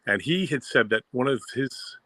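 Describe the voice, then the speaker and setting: A man's voice: medium pitch, animated, moderate pace, bright, forceful tone, no echo. A man with a Boston accent chatting as co-host, enthusiastic and rambling with fillers. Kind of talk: casual fan discussion.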